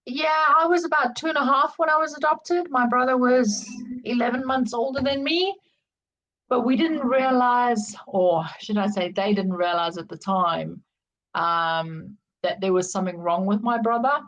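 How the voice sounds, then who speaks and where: A woman's voice: on the high side, moderate pace, a bit bright, poor audio. A middle-aged woman with a South African accent, candidly and reflectively telling her life story as an interview guest. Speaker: podcast guest.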